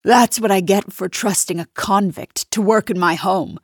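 A woman voicing a wealthy, haughty woman who sneers and accuses loudly. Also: mid-range voice, moderate pace, no echo, clean studio sound, close to an everyday voice. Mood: accusing. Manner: sneering, loud and triumphant.

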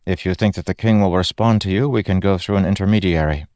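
A man voicing a stern, no-nonsense townlord's wife who talks in a brisk, scolding manner. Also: low voice, a little mellow, dry recording, clean studio sound, pitched above the performer's own voice, close to an everyday voice. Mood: curt.

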